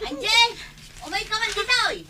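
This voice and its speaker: Exasperated nagging housewife, very high, piercing voice, scolding dramatically for Ilocano radio comedy drama. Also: female voice, moderate pace, a little hiss or hum, full character voice. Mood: scolding, shouting. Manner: scolding, dramatic, loudly calling out. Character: exasperated, nagging mother and wife, short of money.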